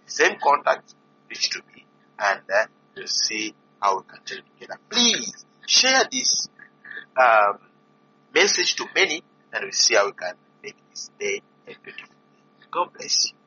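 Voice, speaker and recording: A man from DR Congo, a priest and vocation director, making an earnest, pleading appeal to listeners with many pauses. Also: medium pitch, wildly swooping pitch, bright, forceful tone, slightly rough. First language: not English. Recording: dull lo-fi recording with faint noise.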